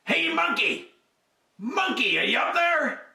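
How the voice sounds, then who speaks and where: A sly young crocodile boasts and schemes in a bright, raised voice, as a man puts him on. He sounds greedy and full of himself. The sound is roomy and slightly distant. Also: very high-pitched, very animated, slow pace, gravelly, voice pushed up, exaggerated voice. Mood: scheming.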